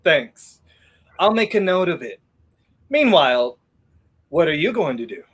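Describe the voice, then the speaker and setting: A skeptical young gentleman played by a man with very lively, swooping intonation. He is wry and flippant, delivered theatrically as in a 1930s radio play. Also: fairly high pitch, a little slow, husky, full character voice. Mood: wry, reassuring. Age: young adult.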